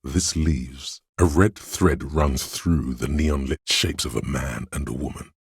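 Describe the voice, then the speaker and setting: A man voicing a detective's analytical inner voice for a video game, in precise, measured, dryly observant narration with a gravelly, husky tone. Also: a bass voice, no echo, studio quality, full character voice. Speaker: game voice actor.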